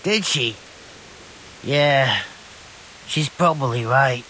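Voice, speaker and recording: Slow, rambling simpleton young bandit. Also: male voice, medium pitch. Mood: sheepish. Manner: rambling, hesitant, simple-minded, colloquial. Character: dim-witted young man, rambling, easygoing petty deserter bandit. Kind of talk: video game dialogue acting.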